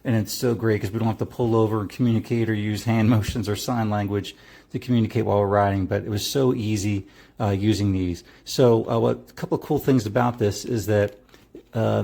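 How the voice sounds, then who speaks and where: Mellow-voiced middle-aged man, casual reviewer. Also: on the low side, gravelly, breathy edge. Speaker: product reviewer.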